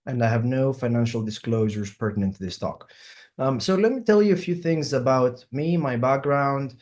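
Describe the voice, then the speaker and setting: A middle-aged man from Greece with a Greek accent, a surgeon giving an online symposium talk and often pausing with ums and uhs. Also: medium pitch, a little mellow. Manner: hesitant, many ums and uhs. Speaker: plastic surgeon presenting.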